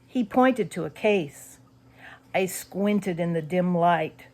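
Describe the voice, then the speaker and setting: Casting: woman narrator, children's horror audiobook, unhurried pace, expressive varied intonation, builds suspense. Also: on the low side. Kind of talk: scripted narration of a spooky children's story.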